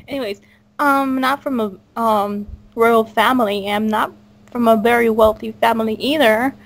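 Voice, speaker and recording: A woman's voice: fairly high pitch, steady tone, unhurried, gravelly, poor audio. A woman hosting a casual cast interview, reading out questions and chatting in an informal, giggly way. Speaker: host of a cast interview for a fan audio drama. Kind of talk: casual group chat, reading out listener questions.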